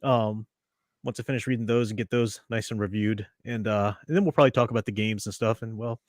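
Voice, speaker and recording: A man's voice: lowish voice, fast, no echo, clean studio sound. A man hosting a casual podcast chat, opinionated and chatty, laughing often. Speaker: podcast host.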